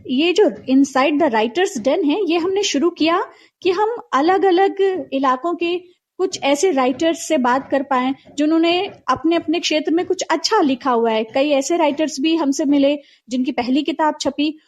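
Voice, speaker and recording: A woman's voice: sits high, moderate pace. A woman hosting a casual live talk show, chatting directly with her co-host and listeners.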